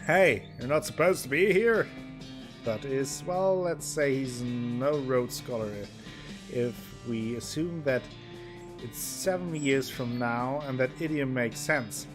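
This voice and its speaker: Male let's-player, playful, reads game text aloud, steady middle pitch, music bed. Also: measured pace. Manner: playful, reads narration with exaggerated pitch swings. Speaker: gameplay streamer. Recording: music playing underneath.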